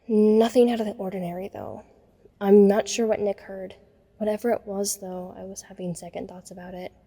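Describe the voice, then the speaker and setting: A woman playing an uneasy, introspective teenage girl who narrates an audio drama in a measured, reflective first person. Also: mid-range voice, steady tone, mellow, husky.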